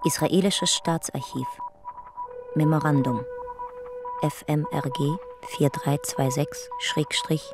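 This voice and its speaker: Woman narrator, very low voice, measured, sober, music behind. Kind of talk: scripted narration reading documents.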